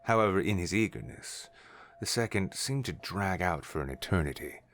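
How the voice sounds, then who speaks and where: A man's voice: low voice, animated, moderate pace, a bit bright, slight background noise. A man reading scripted narration for a science fiction story. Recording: music under the voice.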